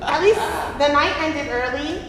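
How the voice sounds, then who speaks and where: A woman's voice: high voice, a little slow, a bit bright. A young woman acting a frustrated, yearning twenty-one-year-old in a staged play reading, recorded in an echoey, noisy hall. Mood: exasperated. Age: young adult.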